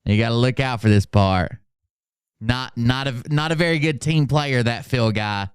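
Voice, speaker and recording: A man's voice: mid-range voice, normal speed, clean tone, dry recording, clean studio sound. A man hosting a commentary show, speaking informally and sarcastically and swearing at times, in a mocking style. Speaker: host of a commentary channel. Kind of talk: mocking commentary with dramatic read-outs.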